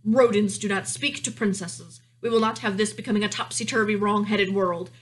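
A woman's voice: medium pitch, expressive, normal speed, fairly bright tone, close to an everyday voice. Storybook monarch, male woman reader performing: stern father, pompous, commanding, emphatic delivery. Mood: thundering anger. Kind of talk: children's storybook reading.

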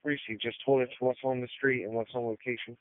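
A man's voice: mid-range voice, level tone, fast, no room sound. A man giving clipped, procedural acknowledgements over an emergency two-way radio. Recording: tinny narrowband fire radio transmission.

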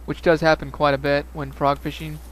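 A young man giving calm, matter-of-fact fishing tips as a voice-over narrator. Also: on the high side, a little fast. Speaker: fishing video host.

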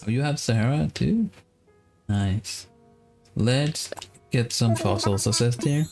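Slow-talking Danish man streaming a game. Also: mid-range voice, vocal fry. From Denmark. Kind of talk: casual gameplay commentary. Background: guitar music.

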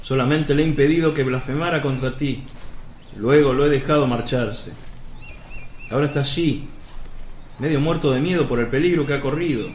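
A man's voice: medium pitch, moderate pace, pauses often, darkish tone, natural voice. An actor playing an indignant, agitated disciple who pleads with his master in a dramatic religious radio play. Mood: agitated, pleading. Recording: roomy, with noisy outdoor ambience.

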